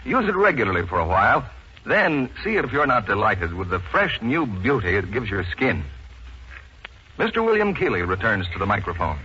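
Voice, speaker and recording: Male vintage ad announcer, crisp forceful tone, polished pitch, faintly noisy old recording. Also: very animated. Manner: polished, deliberate sales delivery. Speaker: radio announcer. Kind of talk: 1940s scripted radio advertisement. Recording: muffled, noisy 1940s radio recording.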